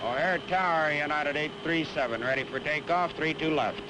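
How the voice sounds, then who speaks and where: An actor playing a businesslike airline co-pilot, making clipped procedural radio calls. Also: male voice, a little high, normal speed, lightly acted. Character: businesslike male airline co-pilot calling the tower. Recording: old film soundtrack, clear vehicle noise.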